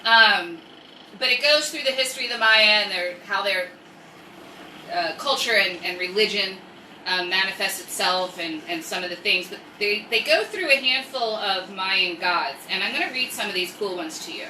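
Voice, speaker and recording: Bright-voiced American woman in an echoey room. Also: mid-range voice, moderate pace. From United States. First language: English. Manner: rambling, jokey asides. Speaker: host of a live story-time stream. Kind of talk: casual chatty livestream with reading aloud. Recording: roomy echo, distant mic, faint noise.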